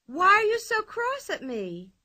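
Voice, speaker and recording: Cheeky little girl, high bouncy voice, super playful, a lady putting her on. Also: very animated, moderate pace, sharp tone, dry recording, studio quality, heavily acted. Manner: high bouncy voice, merry and carefree.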